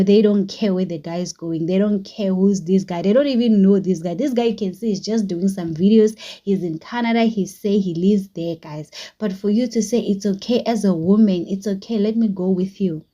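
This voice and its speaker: A woman's voice: medium pitch, normal speed, mellow, dull audio. A South African woman giving emphatic opinion commentary to her viewers, often calling them "guys". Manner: earnest, emphatic, keeps addressing viewers as "guys". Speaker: vlogger commentator.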